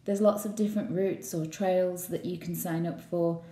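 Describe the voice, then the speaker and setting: A woman's voice: fairly low pitch, steady tone, normal speed, mellow. A woman reading a scripted voice-over for a presentation. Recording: muffled, echoey indoor voice-over.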